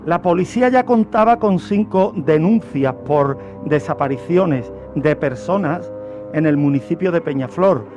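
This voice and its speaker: A high-voiced man from Spain narrates true crime as a radio presenter. He phrases things deliberately and repeats words for emphasis. Music plays faintly behind him. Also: animated. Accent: Spanish from Spain.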